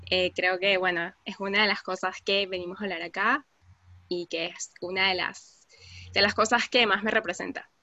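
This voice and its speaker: A woman's voice: medium pitch, moderate pace, dry recording, lo-fi sound. A young Venezuelan woman from Caracas with a Venezuelan accent, chatting casually as a podcast guest with lots of fillers. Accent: Venezuelan Spanish, Caracas. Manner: relaxed, lots of fillers, laughs easily.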